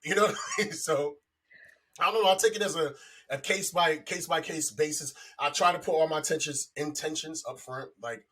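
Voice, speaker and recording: Male, 40s, husky and a bit high. Casual, slangy, sweary podcast storyteller. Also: fairly quick, pushed and bright. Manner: profane, slangy, repeats himself. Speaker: podcast guest. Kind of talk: casual chat, personal anecdotes.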